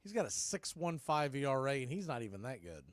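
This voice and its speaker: A man calling live baseball on the radio, mixing clipped pitch calls with conversational, partisan asides. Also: sing-song, fairly quick, no echo. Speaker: baseball radio play-by-play announcer. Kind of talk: live sports commentary.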